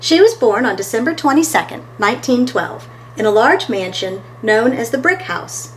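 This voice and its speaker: A woman's voice: fairly high pitch, expressive, normal speed, a little hiss or hum, rough recording. A woman reading a picture book aloud as a storytime host, expressively and talking to her listeners between pages.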